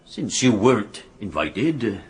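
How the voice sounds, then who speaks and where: A man's voice: on the low side, sing-song, a little slow, slightly rough, full character voice. An actor playing a nervous, evasive male legal colleague, stammering and repeating himself in a radio drama. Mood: flustered, evasive.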